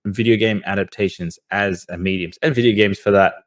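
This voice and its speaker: A man's voice: lowish voice, steady tone, fairly quick. A young man chatting casually as a podcast host, often repeating words and using fillers as he goes.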